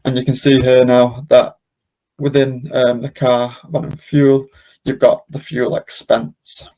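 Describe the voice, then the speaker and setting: A man presenting an informal step-by-step software tutorial, explaining as he goes in an unscripted, hesitant way with frequent ums. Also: mid-range voice, flat delivery, measured pace, rough recording. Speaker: presenter of a software demonstration webinar.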